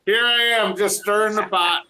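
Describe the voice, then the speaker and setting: A man's voice: high voice, steady tone, normal speed, bright, forceful tone. A man in a casual roundtable chat who keeps teasing and joking with the others. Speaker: roundtable panelist. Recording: faint background noise.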